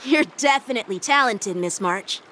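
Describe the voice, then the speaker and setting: A woman's voice: on the high side, expressive, normal speed, a bit bright, a little hiss or hum. A woman voicing a polite, earnest teenage boy swordmaster in an English video game, patient, courteous and instructive. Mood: approving.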